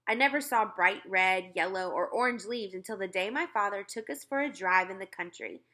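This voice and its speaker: Bright-voiced woman reading a story aloud. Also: medium pitch, moderate pace. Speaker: read-aloud reader. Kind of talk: scripted children's book narration, expressive.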